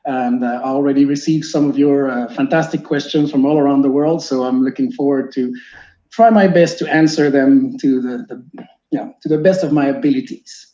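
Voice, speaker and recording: A man's voice: medium pitch, moderate pace, dark tone. French-Canadian man presenting a seminar. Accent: Québécois French. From Quebec, Canada. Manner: earnest, explanatory, with frequent fillers and restarts. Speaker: teacher giving a seminar. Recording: echoey room, muffled lo-fi sound.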